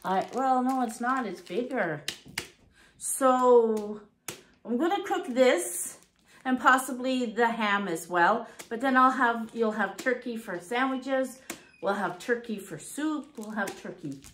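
A middle-aged woman with a fairly high voice chats her way through a grocery haul at her kitchen table, reading each price aloud and musing as she goes. A faint hiss sits under the recording. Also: slow pace. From Canada. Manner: chatty, reads prices aloud, thinks out loud. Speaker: vlogger. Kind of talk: casual grocery haul chat. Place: home kitchen. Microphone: fixed camera at table.

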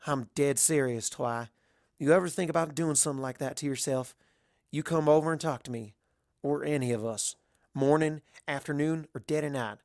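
A man voicing a blunt, protective country farm pony, a mare with a folksy, forceful manner. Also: a little high, moderate pace, no room sound, studio quality, lightly acted. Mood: fierce, concerned.

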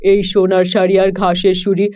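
High, flat-toned solemn magic box, voiced by a man. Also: moderate pace, natural voice. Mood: solemn, granting. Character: solemn magical talking box granting gifts. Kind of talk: children's cartoon story dialogue. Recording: dull lo-fi sound.

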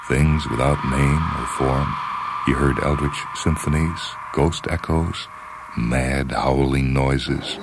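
Male story reader, extremely low bass register, deliberate and dramatic delivery, weighty pauses, noticeable noise in the recording. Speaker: story reader on a radio programme. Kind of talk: dramatic scripted short-story narration.

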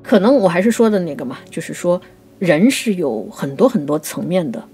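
A woman with a fairly low voice talks through literature as a podcast co-host. She explains in a thoughtful, conversational way and restates her points. Soft music plays behind her. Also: very animated, a little fast.

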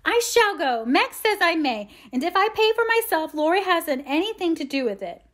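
A woman acts out a stubborn little girl from a storybook, pitched very high. She wheedles and whines with petulant insistence, like a younger sister set on getting her way. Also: lively intonation, brisk. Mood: aggravating, threatening. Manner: coaxing, pleading, petulant.

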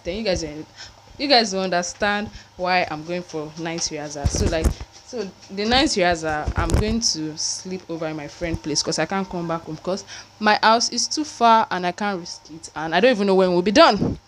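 Casting: young Nigerian woman, informal student vlogger, chatty and repetitive, phone-recorded with background noise. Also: on the low side. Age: early 20s. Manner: informal, repeats herself, some Pidgin. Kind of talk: casual vlog chat.

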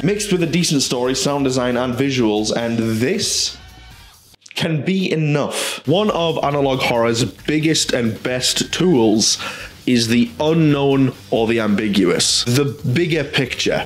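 A man's voice: medium pitch, reverberant. A British man hosting a video essay in casual scripted narration, with a chatty, jokey manner. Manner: jokey, chatty, self-interrupting.

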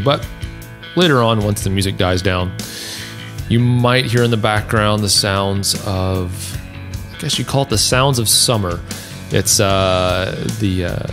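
A man from Middle Tennessee hosting his own podcast in a casual, rambling way, his voice a bit deep from allergies. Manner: rambling, chatty, many fillers and restarts. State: voice deepened by allergies. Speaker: podcast host. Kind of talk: casual solo podcast talk.

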